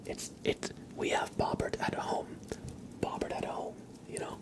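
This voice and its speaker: A man speaking in a soft, breathy whisper, chatting casually with viewers as an ASMR livestream host. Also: unhurried.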